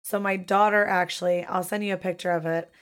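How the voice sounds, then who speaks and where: A woman in her thirties hosting a live-streamed chat show, hoarse from losing her voice, talking casually and swearing freely. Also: on the low side, fairly quick. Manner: profane, chatty, animated. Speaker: podcast host.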